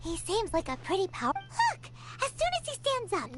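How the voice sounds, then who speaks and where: Tiny squeaky excitable fairy companion. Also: female voice, normal speed, full character voice. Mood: frank, relieved. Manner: bubbly, exclamatory, blurts things out. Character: small childlike chirpy fairy companion, excitable and frank. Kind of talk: anime game dialogue. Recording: game audio with loud music.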